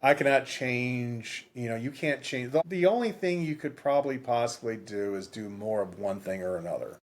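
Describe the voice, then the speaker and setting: A man's voice: medium pitch, normal speed. Rambling, emphatic man in his fifties. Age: 50s. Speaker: podcast co-host. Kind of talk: casual book discussion.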